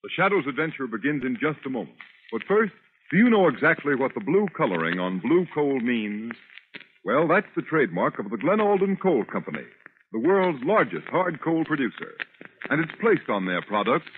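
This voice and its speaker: A man's voice: on the high side, sing-song, normal speed. A man announcing in formal, emphatic 1930s radio style, reading scripted narration and sponsor messages. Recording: scratchy, tinny 1930s radio recording.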